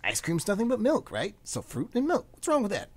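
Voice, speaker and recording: A woman voicing a glib, defensive younger brother who deals in antiques, husky, in a radio play. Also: mid-range voice, wildly swooping pitch, fairly quick. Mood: breezy. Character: cocky, defensive kid brother, shady antiques dealer. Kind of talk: live radio play acting.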